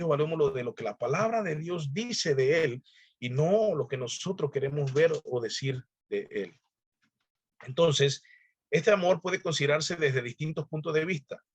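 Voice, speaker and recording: A man's voice: medium pitch, dry recording. A man, a pastor, teaching a Bible class in a loose, conversational way, often asking the listener questions and chuckling as he goes. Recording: dull dry lo-fi sound.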